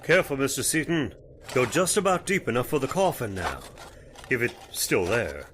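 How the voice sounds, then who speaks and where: A man plays a folksy small-time judge in a vintage radio chiller. He is steady and courteous, with a mid-pitched voice that rises and falls widely. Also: background noise, natural voice. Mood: grave. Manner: folksy, deliberate, hesitant politeness. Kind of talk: old-time radio horror acting.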